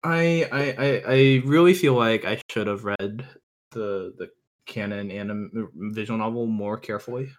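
A man chatting casually as a podcast guest, halting and restarting, swearing in exasperation. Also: lowish voice. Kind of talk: casual chat.

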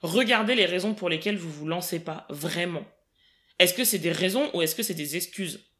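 A young woman from the Paris region in casual podcast conversation, emphatic and quick, often laughing. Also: fairly low pitch, fairly bright tone. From Paris region, France.

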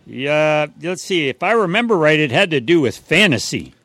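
A man's voice: on the high side, very animated, normal speed, a bit bright, dry recording. A man co-hosting a home repair radio show, handing out advice and comic banter with teasing wisecracks.

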